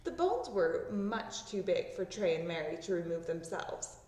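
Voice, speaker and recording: A woman reading a children's story aloud, with expressive narration that acts out the words. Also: on the low side, measured pace. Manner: expressive, acting out sound words. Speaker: storybook reader. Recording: roomy echo, distant microphone.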